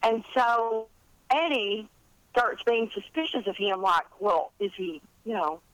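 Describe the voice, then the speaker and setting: A woman in her fifties telling stories in a chatty, animated, rambling and self-deprecating way, as an interview guest. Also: medium pitch, measured pace, no room sound, rough recording. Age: 50s. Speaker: guest author and college English teacher.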